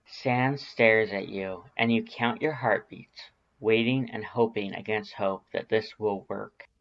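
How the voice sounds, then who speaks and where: A man's voice: fairly low pitch, fairly even intonation, slow, muffled recording. A man narrating scripted fiction in a dramatic storytelling style.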